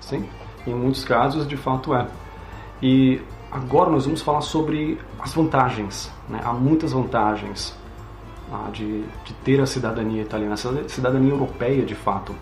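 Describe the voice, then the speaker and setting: A man's voice: mid-range voice, normal speed. A Brazilian man hosts a casual interview, explaining points in a friendly, plain way. He is recorded in a roomy space with an echo, and faint music plays behind him. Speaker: host.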